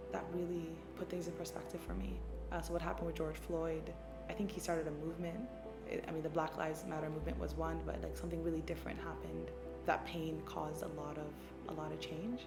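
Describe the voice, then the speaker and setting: A woman with a low, fairly even voice shares personal stories in a reflective, earnest way. She sounds like a community worker being interviewed. The room is echoey and loud music plays underneath her. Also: creaky. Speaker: community mental health worker.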